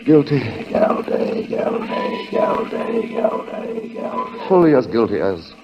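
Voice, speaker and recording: An actor as a grave, ominous narrator, telling a 1940s radio suspense story in deliberate, dramatic phrases. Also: male voice, medium pitch, very animated. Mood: urgent, ominous. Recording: dull lo-fi old radio recording.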